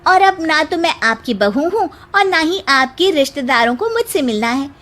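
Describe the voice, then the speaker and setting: A woman's voice: sits high, sing-song, moderate pace, a bit bright, pitched above the performer's own voice. A woman voicing a short, spirited, self-assured young daughter-in-law in a moral-story cartoon, speaking in an emphatic, outspoken manner. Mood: indignant, defensive.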